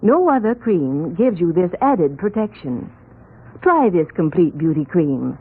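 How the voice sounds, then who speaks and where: A woman announcer delivers a scripted commercial in 1940s radio style. Her mid-pitched voice is husky and animated, heard through a muffled, narrow old recording. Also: measured pace.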